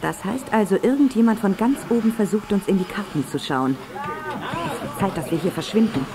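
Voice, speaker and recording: A woman's voice: medium pitch, brisk, over music, close to an everyday voice. An actress playing a scheming, commanding female villain in a radio play, imperious and intense. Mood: grave, calculating. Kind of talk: German radio play acting. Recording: noisy cassette radio play.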